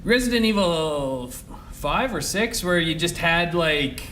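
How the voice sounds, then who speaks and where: High-voiced young man, chatty co-host. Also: expressive, unhurried, sharp tone, a little hiss or hum. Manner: agreeable, chatty, teasing. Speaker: podcast co-host. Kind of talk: casual chat.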